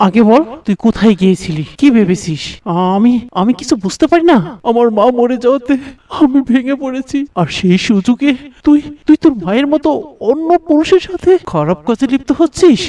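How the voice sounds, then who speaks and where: An actor voicing a distraught young village son, devout and quick to anger, stammering and repeating words in a melodramatic cartoon style. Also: mid-range voice, expressive, mellow, far from the mic, natural voice. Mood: angry, accusing.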